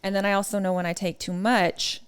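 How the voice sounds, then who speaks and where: A woman hosting a casual podcast interview, asking questions and giving steady encouragement. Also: mid-range voice, a little fast. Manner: warm interviewer, frequent "mm-hmm" backchannels. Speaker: podcast host. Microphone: podcast studio mic.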